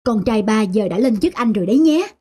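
An actor playing a gentle, caring young father in a cartoon family drama. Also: high-pitched, expressive, brisk, breathy edge. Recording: music behind.

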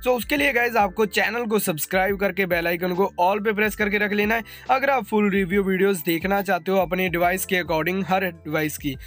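A man's voice: high voice, fairly even intonation, brisk, hardly any pauses, a bit bright. A man hosting a tech update voice-over, addressing viewers informally and mixing in English terms. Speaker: tech channel host. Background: background music.

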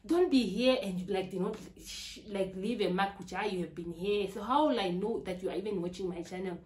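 A woman's voice: medium pitch, a little fast, a little mellow. A young Namibian woman talking casually to her viewers about her channel, chatty and repetitive, with many "like" fillers; English is her second language. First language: not English. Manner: chatty, repeats herself, frequent "like" fillers. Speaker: YouTuber. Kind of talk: casual talk to camera. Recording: echoey room.

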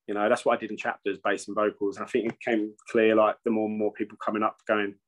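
Low-voiced man rambling on a video call. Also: level tone, fast talker. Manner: rambling, swears freely, many restarts. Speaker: metal band singer and bassist, guest. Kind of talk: casual interview over video call. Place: home room with shelves. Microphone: video call webcam.

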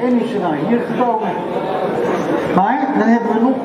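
High-voiced older man in an echoey hall. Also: moderate pace, lo-fi sound. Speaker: prize ceremony presenter. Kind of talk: informal spoken award presentation to an audience. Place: large indoor event hall. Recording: echoey large hall, loud crowd noise.

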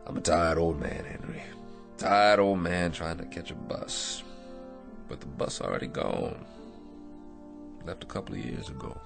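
A man's voice: low-pitched, animated, measured pace, gravelly, long pauses, exaggerated voice. A man playing a battered, weary aging boxer in a radio drama, plainspoken and wistful with an American accent. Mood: weary, reflective. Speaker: actor. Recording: radio drama with music underneath.

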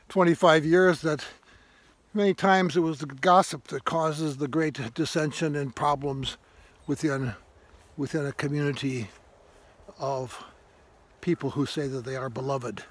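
A man in his seventies giving a thoughtful, halting informal sermon to camera as he walks outdoors, repeating phrases for emphasis. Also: mid-range voice, fairly even intonation. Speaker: vlogger, retired parish priest. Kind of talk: reflective informal sermon to camera while walking. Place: outdoors on a grassy woodland trail. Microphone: handheld phone at arm's length.

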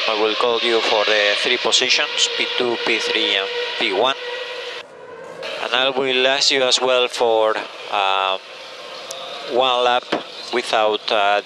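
Male race engineer, mid pitch, halting delivery on radio with loud car noise. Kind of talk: team radio briefing. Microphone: radio headset. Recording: very noisy radio with car sounds.

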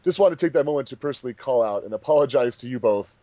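A man's voice: medium pitch, animated, very fast, warm tone, no echo, dull audio. A man hosting a commentary track in casual, chatty speech. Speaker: fandub director and commentary host.

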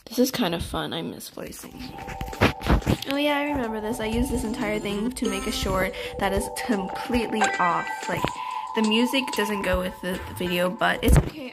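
A young girl chatting casually over her game, rambling and giggly as she talks to her viewers. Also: medium pitch. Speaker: channel creator. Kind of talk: casual gameplay chat. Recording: game music underneath.

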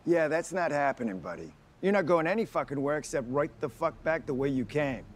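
A male actor plays a tough-talking guard in an action film, pushy and confrontational. His voice sits a little high and is brusque, with faint outdoor noise behind it. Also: animated, fairly quick, lightly acted. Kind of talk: film action drama acting. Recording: outdoor film scene, some background noise.

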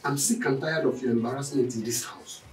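A man's voice: medium pitch, brisk, a little mellow, recorded from a distance, close to an everyday voice. An actor playing an angry, jobless husband who resentfully demands his food. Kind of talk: melodrama acting. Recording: echoey room from afar, faint music.

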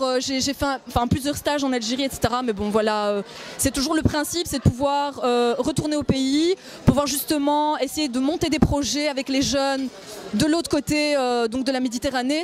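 A woman in her twenties from Belgium, a university student, speaking earnestly into a handheld mic at an indoor event. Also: high voice. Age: 20s. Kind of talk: on-camera interview at an event.

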